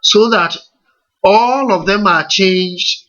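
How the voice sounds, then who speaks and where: Slow, bright-voiced Ghanaian man teaching. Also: high voice, dull audio. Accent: Ghanaian English. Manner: slow, deliberate step-by-step instruction with rhetorical questions. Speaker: computer tutor. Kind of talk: screen-recorded software tutorial.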